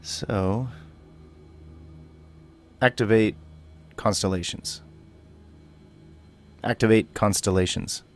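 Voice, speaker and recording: A man's voice: fairly low pitch, fairly even intonation, takes it slowly, pauses often. A man giving casual, playful gameplay commentary as a video host, bantering as he goes.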